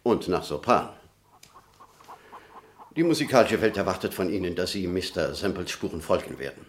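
A formal male emissary of a big company in a radio drama. His delivery is precise and businesslike, with a pressing impatience and very animated intonation. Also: deep voice, moderate pace, a little hiss or hum. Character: brisk, formal, impatient male corporate emissary from New York. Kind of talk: German radio play acting.

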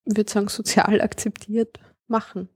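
A woman's voice: mid-range voice, little pitch movement, moderate pace, slightly rough, no echo, clean studio sound. A woman, a linguist guest in a conversational interview, explaining her points thoughtfully.

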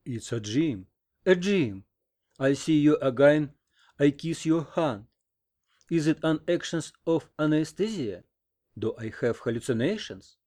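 A man voicing a dazed, amorous wounded American in an audiobook, hoarse, halting and rapturous, with a foreign accent. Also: a little high, animated, warm tone. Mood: dazed, enraptured. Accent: foreign-accented Russian. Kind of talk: audiobook character reading.

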